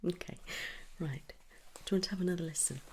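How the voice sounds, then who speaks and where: A woman speaks in a soft, breathy whisper, low in pitch. She plays a comforting midwife in an ASMR medical roleplay, gentle and reassuring throughout. Some background noise sits behind her voice. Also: fast. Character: kind, comforting midwife at a check-up. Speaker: ASMR performer playing a midwife.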